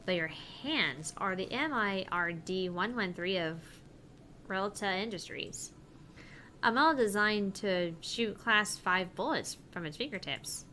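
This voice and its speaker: Casting: woman playing serious young policewoman in a game, medium pitch, formal delivery, slight noise. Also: animated, a little slow, pushed and bright. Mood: earnest, official. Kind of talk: voiced reading of visual novel dialogue.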